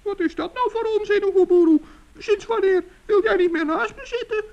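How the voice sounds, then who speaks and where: Male forest gnome, very high pitch, gravelly, stammering, fretful, vintage radio play. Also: normal speed, a little mellow, lo-fi sound. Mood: eager. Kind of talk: 1960s children's radio play.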